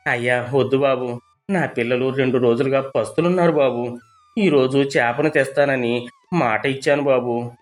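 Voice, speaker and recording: A woman's voice: a bass voice, normal speed, faint music behind, pitched below the performer's own voice. A woman voicing a poor, humble and devoted fisherman father, speaking earnestly in a storybook tale. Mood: humble, pleading.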